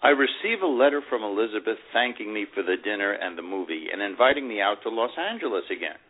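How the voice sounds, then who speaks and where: A man playing a wary, teasing divorced man who tells his side of a romance in an animated memoir reading. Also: fairly low pitch, fast talker, slight background noise, close to an everyday voice. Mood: wry, uneasy. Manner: animated storytelling with acted dialogue. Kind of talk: dramatized first-person memoir reading. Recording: muffled, narrow phone-like radio sound.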